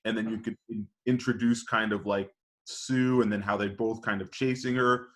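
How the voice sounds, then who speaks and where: A man on a podcast panel casually pitching ideas, hesitant as he thinks aloud. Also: steady tone, moderate pace.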